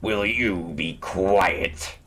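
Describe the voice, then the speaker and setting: A man voicing a wolf who talks slowly and gruffly. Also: a bit bright, slight background noise, exaggerated voice. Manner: slow and low. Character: slow, gruff male wolf.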